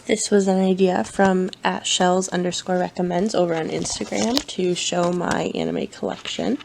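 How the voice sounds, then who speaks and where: A woman's voice: fairly low pitch, lively intonation, moderate pace, vocal fry, background noise. A young woman with a cold, chatting casually as she shows her anime collection, with frequent ums and self-corrections. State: has a cold. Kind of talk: casual show-and-tell vlog.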